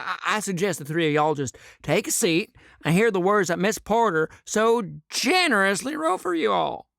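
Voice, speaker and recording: Woman playing a dithering, animated, stammering sheriff. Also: mid-range voice, gravelly, dry recording, clean studio sound. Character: bumbling, timid, stammering sheriff and amateur thespian. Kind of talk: western audio drama acting.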